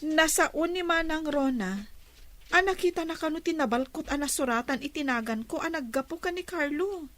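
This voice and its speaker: High-voiced, earnest young woman. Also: moderate pace, a bit bright. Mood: troubled. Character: polite, earnest young daughter awaiting a parcel. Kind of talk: radio drama acting.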